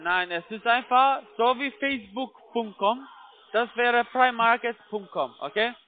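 A man's voice: extremely high pitch, measured pace. A man with a non-native, foreign accent in German, working as a call center IT agent, giving step-by-step instructions and repeatedly checking that he is followed. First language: not German. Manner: repetitive, keeps checking with tag questions. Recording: tinny narrowband phone line.

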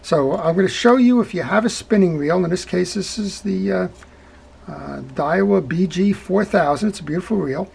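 A man's voice: sits high, normal speed, vocal fry, a little mellow, slight background noise. A middle-aged man hosting a hands-on fishing reel repair tutorial at his workbench, talking it through step by step in a folksy way. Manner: talkative, folksy, explains step by step with "right?" checks. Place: indoor workbench.

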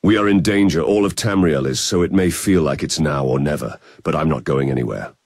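A man playing a steady, caring, protective fantasy hero, speaking earnestly in intimate video game dialogue. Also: very deep voice, a little fast, dry recording, studio quality. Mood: earnest, reassuring.

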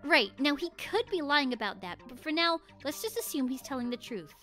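A woman voicing earnest, puzzled young cartoon penguin detectives, reading game dialogue in an expressive, inquisitive way with music behind. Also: sits high, a little fast, pitched above the performer's own voice, heavily acted.

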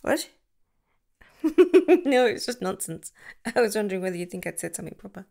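Soft-voiced woman with vocal fry. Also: medium pitch, sing-song, normal speed. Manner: soft, affectionate, giggly, drifting into whispers. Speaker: ASMR roleplay creator. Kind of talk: girlfriend roleplay, speaking to the listener.

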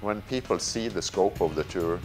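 Man, very low voice, music under him, measured delivery with pauses. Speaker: tour leader. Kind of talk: documentary interview.

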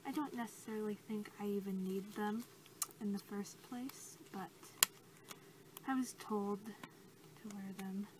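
A soft-spoken young woman doing an improvised ASMR role-play as a researcher, halting and casual, recorded at arm's length in a small bedroom. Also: medium pitch, steady tone. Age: young adult. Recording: clearly noisy home recording.

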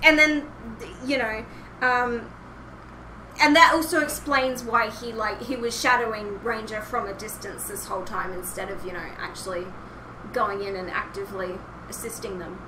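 A woman's voice: a little high, steady tone, normal speed. A young woman podcasting in casual fan chat from a room at home, rambling and hesitant as she goes. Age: young adult. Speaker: podcast host. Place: small home room indoors, online call. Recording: roomy, noisy headset recording.

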